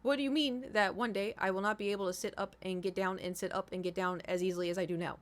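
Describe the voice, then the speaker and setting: A woman's voice: mid-range voice, steady tone, fast talker. A woman in her thirties chatting casually to livestream viewers, rambling informally, swearing freely and repeating herself for emphasis. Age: 30s. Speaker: livestream host.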